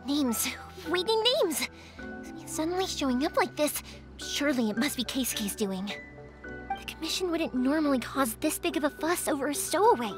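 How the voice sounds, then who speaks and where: An actress voicing a cheerful, determined young woman fireworks maker in a video game. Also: high voice. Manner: lively, caring and determined. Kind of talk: video game dialogue acting. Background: game music.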